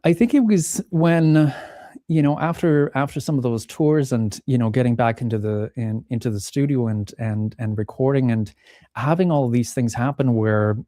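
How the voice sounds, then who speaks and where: Middle-aged guy from czech republic, mellow warm voice, dry close sound, thinks out loud with lots of restarts. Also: mid-range voice, moderate pace, no room sound. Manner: thoughtful, many restarts, acts out remembered quotes. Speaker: pianist and podcast guest. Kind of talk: reflective interview talk.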